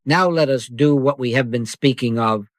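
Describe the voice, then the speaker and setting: A man leading a slow guided meditation in the manner of a sermon, speaking in a hushed cadence full of scripture. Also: mid-range voice, dry recording, studio quality. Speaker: spiritual teacher.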